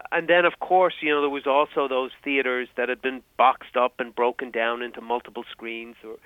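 An Irish man in his fifties, a guest on an interview podcast, talking in a halting, reflective way with many restarts. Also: medium pitch, clear, resonant tone. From Ireland. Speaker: guest, film historian. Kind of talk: casual interview. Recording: tinny phone line.

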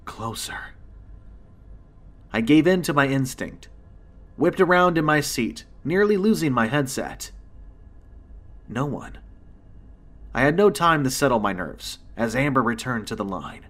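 A man reading a scripted first-person horror story as its narrator, with dramatic, suspenseful pauses. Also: medium pitch, expressive, a bit bright.

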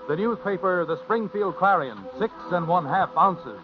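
A man's voice: high-pitched, expressive, normal speed, over music. An American man announcing and narrating a 1950s radio show in a mock-dramatic storytelling manner. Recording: muffled old radio recording.

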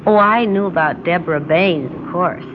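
A dull, clearly noisy old radio recording carries a woman's voice. An actress plays a streetwise nightclub dancer, easygoing and wry, delivering her lines casually in sixties radio drama style. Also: lowish voice, normal speed, natural voice. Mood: wry, confiding. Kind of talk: 1960s radio drama acting.

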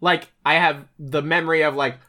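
A man from Virginia co-hosting a podcast, chatting casually with self-deprecating humor and lots of halting restarts. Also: fairly high pitch, steady tone, pushed and bright. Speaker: podcast co-host. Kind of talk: casual conversation.

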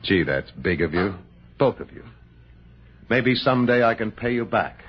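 An actor playing a bitter, drunken washed-up film actor with a gun, menacing and sarcastic, in 1960s radio drama style. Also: male voice, on the low side, lively intonation, moderate pace, slight background noise, lightly acted. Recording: muffled old radio broadcast.

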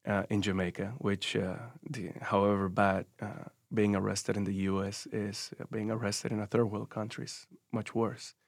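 Low, flat-voiced man. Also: creaky, no echo, clean studio sound. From Cuba. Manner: thoughtful, unhurried, many pauses and "uh" fillers. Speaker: storyteller. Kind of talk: personal first-person storytelling.

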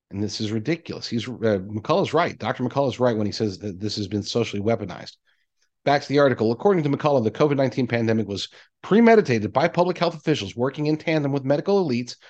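A man hosting a commentary show, reading articles aloud and adding his own emphatic, deliberately repeated asides. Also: medium pitch, a little mellow, lo-fi sound. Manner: emphatic, deliberate repetition of key phrases.

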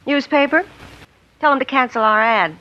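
An actress playing a chatty, efficient office secretary in a 1950s radio drama. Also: female voice, sits high, lively intonation, moderate pace, sharp tone. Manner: chatty, eager. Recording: dull hissy 1950s radio recording.

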